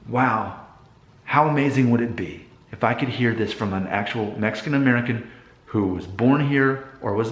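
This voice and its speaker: A man with a fairly low voice records an opinion vlog in a room with clear echo. He addresses his viewers directly, in a deliberate and emphatic way. The delivery is an earnest monologue. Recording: echoey room.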